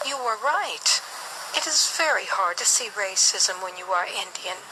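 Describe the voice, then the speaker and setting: A woman's voice: mid-range voice, animated, unhurried, bright, forceful tone, rough recording. A woman voicing an earnest, self-important educated settler activist who lectures in a satirical cartoon. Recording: clear background noise.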